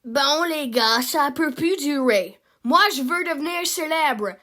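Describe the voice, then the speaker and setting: A woman's voice: high-pitched, expressive, unhurried, full character voice. A young woman with a Québécois accent voicing an impatient cartoon gang member who insists they must become famous. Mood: agitated. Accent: Québécois French. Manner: shouting, insistent.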